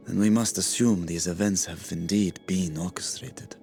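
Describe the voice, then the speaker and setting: A man acting a solemn, formal figure in a video game cutscene, speaking in a grave, measured way. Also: sits low, gravelly, dark tone, soft background music. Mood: grave, urgent.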